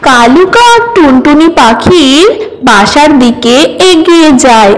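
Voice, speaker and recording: A woman's voice: high-pitched, sing-song, slow, poor audio. A woman narrating a scripted children's story as the storyteller.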